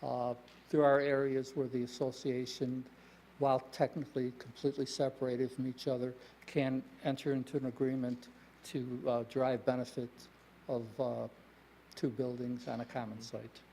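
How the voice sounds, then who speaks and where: A man speaking as a developer at a public planning meeting, explaining his plans in a measured way with fillers. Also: mid-range voice, flat delivery, gravelly, warm tone, a little hiss or hum, low-quality recording.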